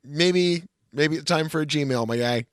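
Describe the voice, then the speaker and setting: A man's voice: on the high side, measured pace, clean tone, no room sound, clean studio sound. A man co-hosting a podcast, bantering casually and reading aloud with a sardonic edge. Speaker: podcast co-host.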